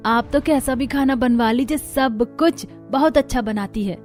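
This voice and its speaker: Chatty, opinionated cartoon family woman female voice actor, higher register, light music behind. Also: normal speed, a little mellow, natural voice. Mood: polite. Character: women of an Indian household, chatty and opinionated. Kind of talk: Hindi cartoon family-story dubbing.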